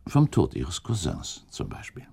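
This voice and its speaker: An actor playing a shrewd, suspicious count in a German radio drama, courteous but pointed in formal, deliberate speech. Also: male voice, very deep voice, wildly swooping pitch, creaky, mellow, husky, full character voice. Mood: accusing, pointed. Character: shrewd, suspicious count, politely insinuating and provocative.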